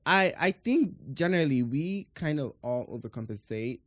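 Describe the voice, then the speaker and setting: A man's voice: fairly high pitch, animated, normal speed, no room sound, clean studio sound. Nigerian man, reflective podcast co-host. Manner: reflective, explains at length. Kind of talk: casual chat.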